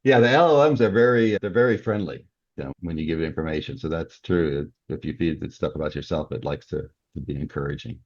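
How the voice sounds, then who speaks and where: Very deep-voiced older man, dull audio. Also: quick pace, a little mellow. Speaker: podcast guest, novelist. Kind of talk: conversational interview, explaining a personal process. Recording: dull, band-limited audio.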